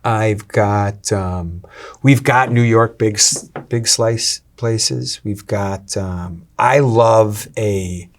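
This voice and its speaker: Guy, restaurant owner, lower voice, talks up his city w lots of ums and restarts. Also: drawn-out words. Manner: energetic, salesy, many fillers and restarts. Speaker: restaurant and nightclub owner, guest. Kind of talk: casual interview talk.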